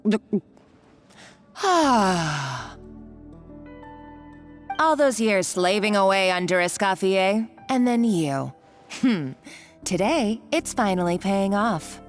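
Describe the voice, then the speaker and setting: A woman's voice: mid-range voice, very animated, takes it slowly, pauses often, faint music behind. A woman playing a domineering, gloating female villain in a video game, speaking with taunting condescension. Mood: smug. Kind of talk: anime-style video game acting.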